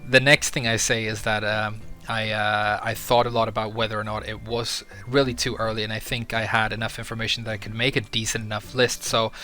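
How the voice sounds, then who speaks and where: A man's voice: fairly low pitch, level tone, fairly quick, few pauses, sharp tone, faint music behind. A young man from Sweden talking candidly to camera as a video creator, earnest and a little hesitant with fillers. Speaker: YouTuber. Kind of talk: casual talk to camera.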